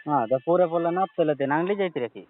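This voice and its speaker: A man's voice: fairly high pitch, expressive, fast talker. A man talking casually on a phone call, giving brief, laconic replies. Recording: narrow phone line.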